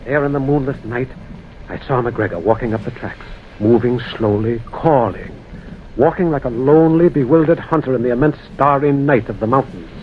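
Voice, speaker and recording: An American actor playing a sober, skeptical railroad man in an old-time radio ghost drama, measured, grave and dramatic. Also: mid-range voice, lo-fi sound, natural voice. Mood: somber, ominous. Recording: thin, noisy vintage radio recording.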